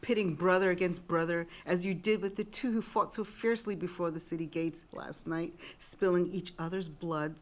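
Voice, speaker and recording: Chorus citizen, woman reader, lowish voice, solemn and incantatory, Greek tragedy reading. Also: steady tone, normal speed, few pauses, natural voice. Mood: invoking.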